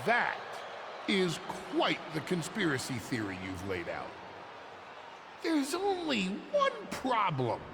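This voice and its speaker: Pompous high-pitched scheming wrestling manager. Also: male voice, huge pitch swings, creaky, full character voice. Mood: smug, menacing. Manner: grandiose, theatrical oratory with drawn-out emphasis. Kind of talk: dramatic in-ring wrestling promo. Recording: noisy arena ambience.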